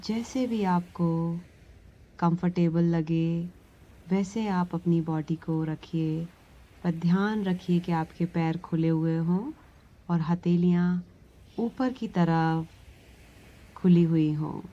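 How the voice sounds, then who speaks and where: A woman leads a guided relaxation meditation, giving gentle, soothing instructions with long pauses between phrases. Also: lowish voice, lo-fi sound. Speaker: yoga nidra meditation instructor.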